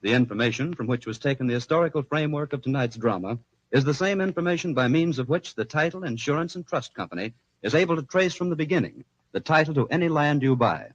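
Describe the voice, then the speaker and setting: Formal American radio announcer, vintage broadcast sound. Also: male voice, mid-range voice, highs cut off. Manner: formal, polished delivery. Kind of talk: 1940s radio announcing, scripted sponsor narration.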